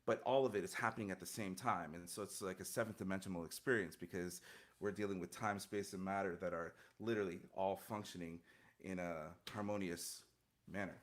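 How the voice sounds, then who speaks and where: A man's voice: sits low, steady tone, fairly quick, slight background noise. A man in his thirties, a guest musician, answering interview questions earnestly and reflectively over a video call from a home studio mic, with many fillers and restarts. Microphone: studio mic on stand, headphones, video call.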